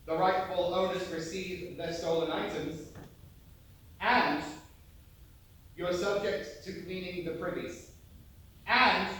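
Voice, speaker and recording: A man's voice: high-pitched, a bit bright. A man acting as a stern ship's officer in a stage play, speaking with firm, formal authority. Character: stern male ship's officer handing out punishments. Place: theatre stage, recorded from a distance. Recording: echoey hall, distant mic, faint noise.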